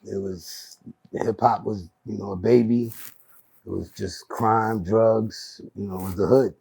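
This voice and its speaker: A man's voice: lowish voice, fairly even intonation, a little slow, gravelly, a little mellow, slightly rough. A man, a guest on a podcast, telling his story in a laid-back, slangy, rambling way. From Brooklyn, New York. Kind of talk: casual interview storytelling.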